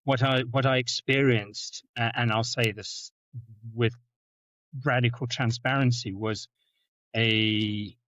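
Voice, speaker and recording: A middle-aged man with a Zimbabwean-South African accent, telling stories as a podcast guest, often repeating words and jumping up in pitch for anecdotes. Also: fairly even intonation, takes it slowly, a bit bright, no room sound. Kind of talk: storytelling in conversation. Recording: dull, dry lo-fi sound.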